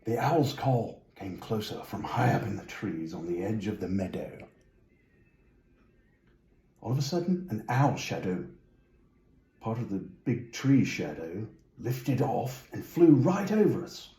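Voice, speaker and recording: Mellow, slow-paced man reading a children's story. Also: lowish voice, big pitch swings, echoey room. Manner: whimsical, musing asides to the listener. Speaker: storybook reader.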